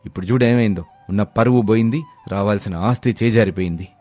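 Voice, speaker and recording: Low, earnest village husband played by a man. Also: quick pace, darkish tone, faint music behind, lightly acted. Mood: reproachful. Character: eager village husband, respectful to elders, reproachful to wife. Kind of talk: dramatised Telugu moral story acting.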